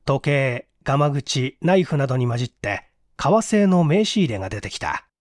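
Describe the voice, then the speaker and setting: A man's voice: mid-range voice, very animated, normal speed, no echo, studio quality. A man reading a mystery story aloud as a dramatic scripted narration, in a theatrical manner. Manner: theatrical, acts out each character's dialogue. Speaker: audiobook reader.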